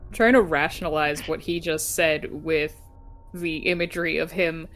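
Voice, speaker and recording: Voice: medium pitch, little pitch movement, normal speed, a bit bright. A tabletop game player chatting casually at the table, with faint background noise.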